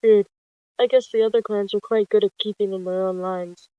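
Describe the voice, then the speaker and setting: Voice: medium pitch, steady tone, moderate pace, clean tone, no echo, phone-quality sound, lightly acted. A storyteller voicing a chatty, businesslike male cat deputy who hands out patrols in a roleplay story. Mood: casual, dutiful.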